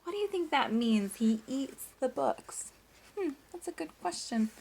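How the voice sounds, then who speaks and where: A woman teacher doing an animated read-aloud of a children's story, with chatty asides and questions to young listeners. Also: high-pitched, measured pace, husky, poor audio. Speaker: teacher reading aloud to class.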